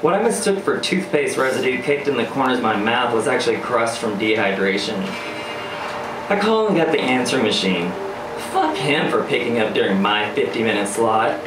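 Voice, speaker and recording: A man's voice: medium pitch, lively intonation, fairly quick, noisy background. A man performing scripted first-person spoken-word storytelling live, with a wry, sardonic, theatrical delivery. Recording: roomy live venue, distant mic, music. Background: electronic music beats.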